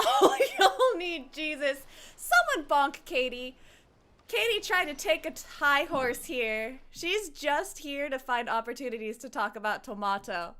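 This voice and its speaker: High, animated woman streamer. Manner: playful, reactive, teasing. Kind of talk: casual live game commentary.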